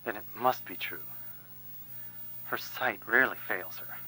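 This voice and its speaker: Sharp-voiced sly cult priest. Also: male voice, lowish voice, unhurried, breathy edge, exaggerated voice, rough recording. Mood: guarded, insinuating. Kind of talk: video game voice acting.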